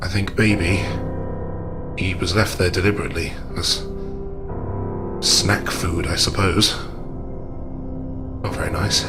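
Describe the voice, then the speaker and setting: Man playing measured, low-voiced gentleman investigator. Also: steady tone, over music. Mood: measured, grave. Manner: deliberate, understated, reasoning aloud. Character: measured, analytical gentleman investigator, calm and macabre.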